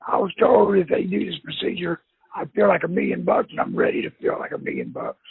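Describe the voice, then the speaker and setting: A rambling older man in his sixties on a tinny phone line. His voice is very high, gravelly and hoarse, and it swings up and down a lot as he complains and chuckles. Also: huge pitch swings, a little fast, no echo. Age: 60s. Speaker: insurance customer on the phone. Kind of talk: casual phone conversation. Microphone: telephone line.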